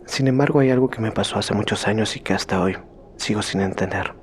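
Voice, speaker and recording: A man reading out a horror story in slow, hushed scripted narration that sometimes sinks to a whisper. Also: on the low side, slight background noise.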